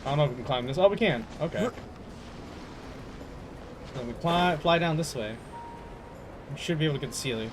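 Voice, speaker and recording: A man's voice: on the high side, animated, a little fast, pauses often, noisy background. A young man giving casual, slangy gameplay commentary, often talking to himself as he plays. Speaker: video game streamer. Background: game music.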